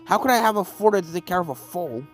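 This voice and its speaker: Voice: mid-range voice, animated, quick pace, lightly acted. A reader voicing a grumpy older unicorn stallion. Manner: curt, sullen, grumbling. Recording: game music under the voice.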